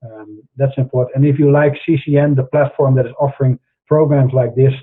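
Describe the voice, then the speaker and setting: Husky Dutch-accented man over a tinny line. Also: medium pitch, fairly even intonation, brisk. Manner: earnest, preachy, with frequent fillers and restarts. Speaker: host. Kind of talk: monologue to camera in an online show.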